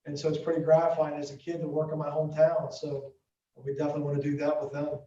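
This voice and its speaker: Ohio construction guy answering a school board, monotone, far from the mic, dull muffled audio. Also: a little high, fast. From Ohio, USA. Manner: steady, businesslike explaining. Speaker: construction firm representative. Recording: muffled distant audio in an echoey room.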